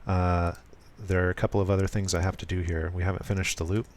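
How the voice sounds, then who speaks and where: Soft-spoken low-voiced man explaining code. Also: level tone, slight background noise. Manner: soft, deliberately smooth and low-key, with frequent ums and self-corrections. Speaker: programmer streaming a live coding session. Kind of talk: casual live-coding walkthrough.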